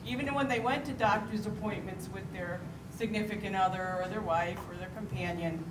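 A middle-aged American woman moderates a live panel discussion. Her mid-pitched voice comes through a handheld mic in an echoey meeting hall. There is clear noise in the room. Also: fairly quick. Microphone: handheld microphone. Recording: echoey noisy hall, distant sound.

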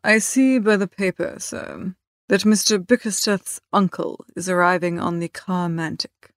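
Woman as male English valet, audiobook character, deferential, measured, unruffled, formal, dry close sound. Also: fairly low pitch, fairly even intonation, no room sound, natural voice. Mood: composed, deferential.

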